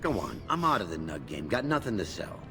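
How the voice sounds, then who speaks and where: An actor playing a gruff, down-on-his-luck dwarf animal wrangler in a fantasy game. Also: low-pitched, sing-song, brisk, a bit bright, soft background music, full character voice. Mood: weary, grateful.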